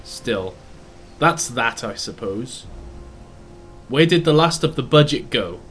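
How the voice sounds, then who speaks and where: A man's voice: medium pitch, lively intonation, slow pace, fairly bright tone, soft background music. A man voicing an irritable, proud railway owner in an audio drama, blustering and exasperated.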